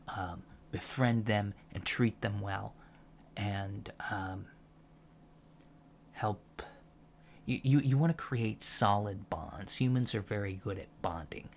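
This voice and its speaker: Alien lecturer for soft-spoken ASMR , male performer: earnest, gentle, halting, slow-paced, creaky low voice. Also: a little hiss or hum. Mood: gently fascinated. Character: gentle, earnest male alien college professor teaching about humans. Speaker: ASMR roleplayer.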